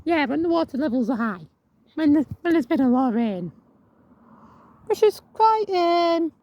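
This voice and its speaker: A woman with a croaky voice walking outdoors, chatting casually to her viewers and reading signs aloud with many restarts. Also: sits high, sing-song, mellow, lo-fi sound. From England. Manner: rambling, restarts words, reads signs aloud haltingly. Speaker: vlogger. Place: outdoors on a viaduct.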